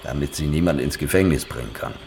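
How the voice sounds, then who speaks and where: An actor playing a grave local man in a radio drama, speaking tersely and matter-of-factly about dark events. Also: extremely low pitch, fast, gravelly, a little hiss or hum.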